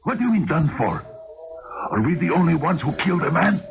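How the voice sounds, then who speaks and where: An actor playing a defiant, hot-tempered young drifter turned killer in a radio drama, gruff, impatient and bossy. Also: male voice, a little high, big pitch swings, brisk. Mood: defiant, irritated. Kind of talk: old-time radio drama acting. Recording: noisy lo-fi 1970s radio broadcast.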